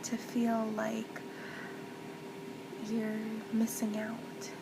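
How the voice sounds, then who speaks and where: A woman playing a gentle, comforting mother in a soft-spoken ASMR roleplay, soothing and tender, often slipping toward a whisper. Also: completely flat delivery, very slow, creaky, full character voice. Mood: tender, reassuring. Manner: soothing, tender, reassuring, hushed with whispery stretches. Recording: loud background noise with low rumble.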